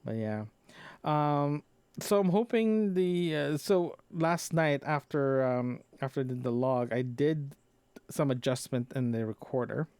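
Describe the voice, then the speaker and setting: A man with a medium-pitched voice talks off the cuff, as in a personal podcast diary. He rambles and halts, circling back to repeat or rephrase his words. The sound is close, compressed and very dry, with no room echo. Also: unhurried, darkish tone, clear, resonant tone. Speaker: podcaster recording a personal audio log. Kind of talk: casual unscripted solo chat. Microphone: close microphone into a recorder, compressed.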